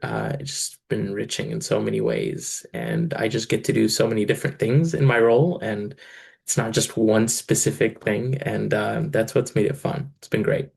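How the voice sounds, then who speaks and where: Thirties man from Egypt, church finance guy; low, gravelly, easygoing interview answers. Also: normal speed. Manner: relaxed, many fillers, laughs between phrases. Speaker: church financial controller and bivocational pastor.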